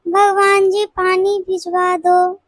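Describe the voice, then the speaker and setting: A small village girl, acted out by a woman, pleads in a very high voice. She is innocent, frightened and plaintive, speaking slowly in a storybook tale, with a dry, close sound. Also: flat delivery, no echo. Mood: pleading, weak.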